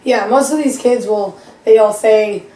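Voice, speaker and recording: Young woman, early twenties, math teacher guest, rambling and self-deprecating, mellow tone, roomy echo, a bit off mic. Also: a little high, a little slow, far from the mic. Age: 20s. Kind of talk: casual chat.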